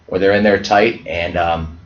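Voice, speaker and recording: A man who coaches high school basketball, from Ohio, speaking earnestly as an interview guest and often restarting his words. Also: steady tone, a little slow. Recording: echoey dull lo-fi audio.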